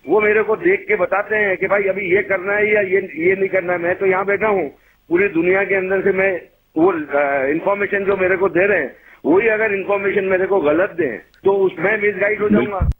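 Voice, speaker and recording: Tinny phone recording of a blunt high-voiced man. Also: fairly even intonation, brisk, a little hiss or hum. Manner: blunt, self-assured, colloquial. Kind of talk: casual phone conversation. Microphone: telephone.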